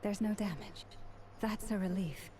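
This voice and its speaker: An actress playing a caring, businesslike woman healer in a video game, speaking in a measured, formal, articulate way. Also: medium pitch, crowd noise, natural voice. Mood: relieved, warm. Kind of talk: scripted game voice acting. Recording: loud music under the voice.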